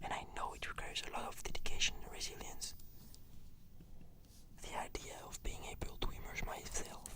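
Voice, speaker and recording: A whispered ASMR voice giving scripted, fluent answers as a patient in a roleplay, in a measured, reflective manner. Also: pushed and bright.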